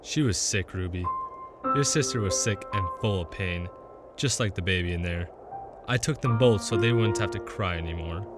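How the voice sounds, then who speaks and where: An actor in a radio drama playing a gentle, lonely male spirit of Death, speaking tenderly and earnestly. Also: low-pitched, normal speed, over loud music, close to an everyday voice. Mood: soothing, firm.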